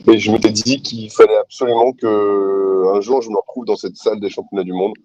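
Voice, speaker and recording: A man's voice: on the low side, brisk, no echo. Casual interview guest: man in his forties, easygoing and chatty, a dry, slightly muffled phone line. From New Caledonia, raised in France. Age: 40s. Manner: relaxed, chatty, many fillers. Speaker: interview guest, poker commentator. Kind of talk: casual remote interview chat. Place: outdoor terrasse, sitting on a pouf. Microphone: phone on a video call.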